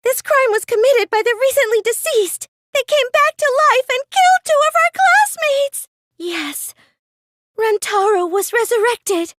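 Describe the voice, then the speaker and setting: A woman's voice: very high-pitched, expressive, measured pace, fairly bright tone, exaggerated voice. A woman voicing a plain, meek, nerdy teenage girl in an anime mystery video game, speaking in a mild, hesitant, questioning way. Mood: dramatic. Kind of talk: anime video game English dub acting.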